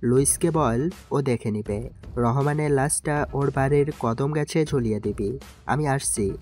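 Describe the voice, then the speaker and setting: A man's voice: medium pitch, unhurried, a little mellow, soft background music, lightly acted. A man playing a cold, mocking young mafia boss in an audio drama, speaking in a commanding way. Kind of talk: Bengali audio story acting.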